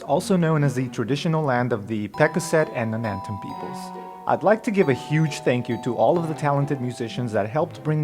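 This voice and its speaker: Man, game master, podcast host, mid-range pitch, improvised narration, music bed. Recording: music playing behind voice.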